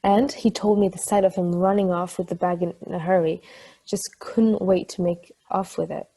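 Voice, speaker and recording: A woman playing a chatty partner in a table read, telling an anecdote in a rambling, conversational way with a slightly husky voice. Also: on the low side, steady tone, moderate pace, mellow. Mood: amused storytelling.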